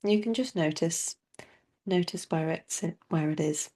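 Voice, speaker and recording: A woman leading a guided body scan meditation as a teacher, speaking softly and soothingly with long, unhurried pauses. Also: low-pitched.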